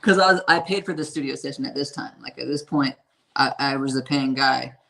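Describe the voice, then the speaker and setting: A man with a raspy, hoarse voice, talking about his music in a casual interview and rambling with lots of fillers and swearing. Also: medium pitch, a little fast, pushed and bright, a little hiss or hum. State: hoarse from a strained voice. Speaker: independent musician.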